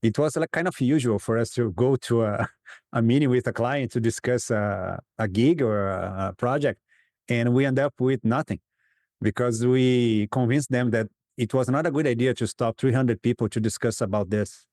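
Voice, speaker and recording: A man's voice: mid-range voice, moderate pace, a little mellow, no room sound, clean studio sound. A man, a guest facilitator in a conversational interview, earnestly telling stories and acting out his clients' replies, laughing often.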